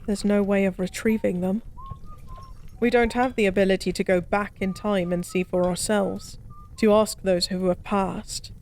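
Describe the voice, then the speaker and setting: A woman playing a forgotten goddess as a tipsy pub stranger in an intimate audio roleplay, speaking softly in slow, wistful philosophical rambles. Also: mid-range voice, steady tone, a little hiss or hum, natural voice. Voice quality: soft. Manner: slow, musing, rambling, teasingly cryptic.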